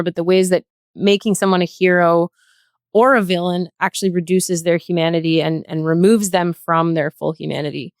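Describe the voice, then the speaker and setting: A woman's voice: lowish voice, dry recording, studio quality. A woman speaking as a podcast guest, articulate and careful in a thoughtful discussion. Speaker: podcast guest, nurse and health educator.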